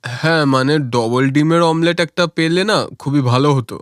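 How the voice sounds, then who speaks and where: A cheerful village family man played by a male actor in a cartoon. His voice sits on the high side and is recorded dry, with a coaxing, appreciative manner. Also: brisk, smooth, no room sound, close to an everyday voice. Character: cheerful food-loving village menfolk, sons and father, eager, coaxing.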